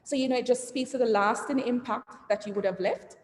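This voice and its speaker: A woman's voice: fairly high pitch, a little fast. A woman executive giving a conversational, interactive branding presentation to entrepreneurs over a video call. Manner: conversational, asks the audience and reads the chat aloud, trails lists with "et cetera". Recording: roomy reverberant sound with faint noise.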